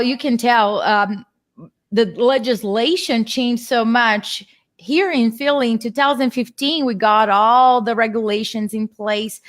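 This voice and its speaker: A woman's voice: a little high, a bit bright. A woman with a Brazilian Portuguese accent, speaking English as a second language, explaining her work earnestly on a video call interview. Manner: earnest, explanatory, slightly non-native phrasing. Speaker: guest speaker, real estate investor. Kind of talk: casual interview.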